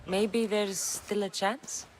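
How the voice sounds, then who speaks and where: An actress playing a tough ancient Greek mercenary in a video game, with a dry, sardonic manner. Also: female voice, mid-range voice, fairly even intonation, slow, lightly acted. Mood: wry, skeptical. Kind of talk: video game cutscene acting.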